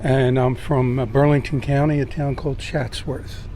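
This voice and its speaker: A man in his seventies gives firm, emphatic answers to a reporter. His pitch is middling. Street traffic sounds clearly behind him. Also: level tone, normal speed, dark tone. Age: 70s. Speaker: voter interviewed on the street.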